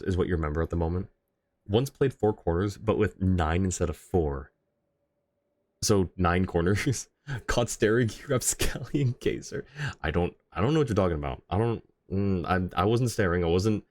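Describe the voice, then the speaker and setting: A man's voice: low voice, darkish tone. A man live streaming a game, chatting casually with viewers in an easygoing, rambling way and reading comments aloud. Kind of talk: casual chat with viewers while gaming.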